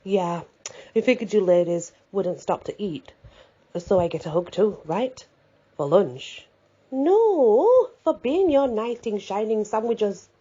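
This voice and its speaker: Woman reading an easygoing teasing guy, husky. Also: medium pitch, lively intonation, takes it slowly, mellow, lo-fi sound, voice pushed up.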